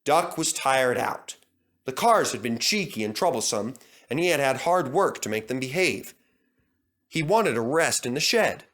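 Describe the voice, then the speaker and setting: A man's voice: medium pitch, expressive, moderate pace, fairly bright tone. A man narrating a scripted children's story as a storyteller. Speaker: storyteller, fandub reader.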